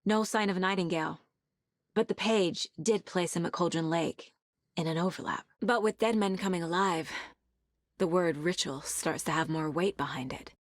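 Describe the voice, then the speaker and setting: An actress playing a determined, focused female FBI agent in a video game, with a serious, measured investigator's delivery. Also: on the low side, no room sound, studio quality, close to an everyday voice. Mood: analytical. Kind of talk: scripted video game dialogue and inner monologue.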